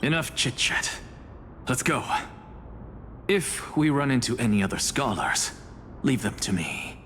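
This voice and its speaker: A man's voice: mid-range voice, very animated, unhurried, fairly bright tone, slight background noise. An actor playing a harsh, haughty male scholar with a scornful, imperious manner, in an anime-style video game. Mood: resolute. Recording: long hall reverb, music behind. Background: game music.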